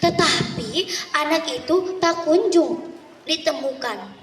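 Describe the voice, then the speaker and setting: A woman narrating a folk tale theatrically as a contestant, deliberate and with dramatic pauses. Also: high-pitched, lively intonation. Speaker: storytelling contestant. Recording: echoey hall, distant microphone.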